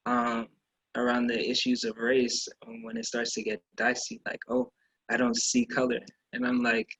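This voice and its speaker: A man's voice: mid-range voice, fairly bright tone, breathy edge, dry recording, low-quality recording. A young man from Minnesota, a student athlete, answering earnestly and reflectively in an interview. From Minnesota, USA. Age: young adult. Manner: earnest, reflective, speaks in building lists.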